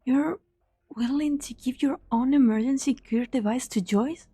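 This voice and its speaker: A woman's voice: high voice, a little slow, mellow, husky, no echo, faint music behind. A woman playing a cool, guarded hostage doctor in an amateur game fan dub, with restrained, precise delivery. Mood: wary, reproachful.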